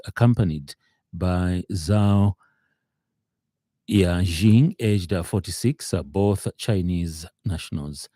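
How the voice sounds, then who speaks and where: A man with a Zambian accent reading a scripted radio news bulletin in a formal, somewhat halting way. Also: deep voice, mellow, no echo, studio quality. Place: radio studio.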